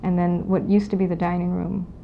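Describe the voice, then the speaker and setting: Interviewee: a woman in her forties from Los Angeles, low and flat, with a gravelly edge, recalling family history with pauses, on dull old tape. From Los Angeles, California. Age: 40s. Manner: reflective, halting, with many pauses and fillers. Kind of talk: recorded oral history interview. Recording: dull lo-fi 1992 tape with faint hiss.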